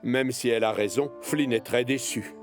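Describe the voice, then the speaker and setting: A man's voice: medium pitch, steady tone, moderate pace. A man narrating a scripted children's story as the storyteller of a cartoon. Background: music.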